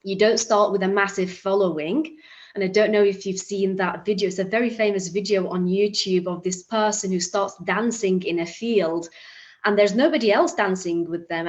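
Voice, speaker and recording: A woman's voice: mid-range voice, muffled recording. A woman from Iraq giving an earnest motivational talk at an online summit, with frequent restarts and fillers. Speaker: summit speaker.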